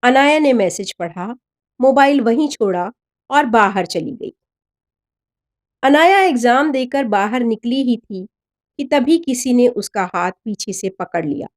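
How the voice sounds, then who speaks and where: A woman reading a scripted story as a narrator, expressive and measured. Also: fairly high pitch. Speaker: story narrator, voice-over artist.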